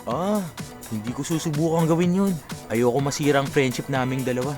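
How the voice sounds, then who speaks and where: An actor plays a young Filipino man in a radio drama. His mid-pitched voice is earnest and reluctant, like someone guarding his feelings, with prominent music behind it. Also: fairly quick, close to an everyday voice. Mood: reluctant, wistful. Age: young adult. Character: guarded young man secretly in love with friend.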